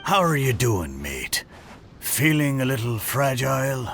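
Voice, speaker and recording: A man's voice: medium pitch, big pitch swings, a little slow, a bit bright, a little hiss or hum. A man voicing an old seafaring boat in a video game, jovial and folksy like a sailor. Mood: jovial, teasing. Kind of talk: video game character dialogue.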